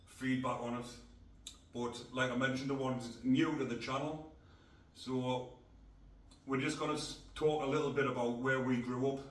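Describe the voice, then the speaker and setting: A middle-aged man from North East England, hosting a casual podcast in a blunt, rambling way. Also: medium pitch. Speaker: podcast host. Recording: echoey room, distant mic.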